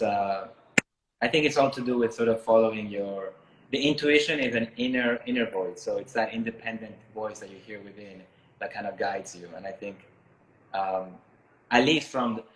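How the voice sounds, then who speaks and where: A man from Miami talking casually and reflectively as an interviewed artist, thoughtful and halting as he searches for words. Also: lowish voice, fairly bright tone, a little hiss or hum. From Miami, United States, born in Ecuador. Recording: echoey room sound.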